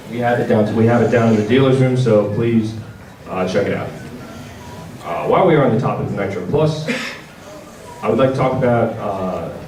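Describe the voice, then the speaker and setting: Low-voiced man presenting in an echoey hall. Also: darkish tone. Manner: halting, hesitant delivery. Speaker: presenter for a visual novel publisher. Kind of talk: convention panel product presentation. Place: large indoor convention panel room. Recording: roomy, distant convention hall with audience. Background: audience crowd sounds.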